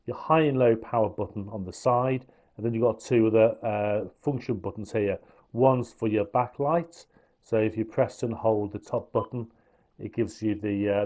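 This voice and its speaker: A man's voice: fairly low pitch, mellow. A man explaining how a gadget works step by step, in an informal hands-on review style. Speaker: product reviewer.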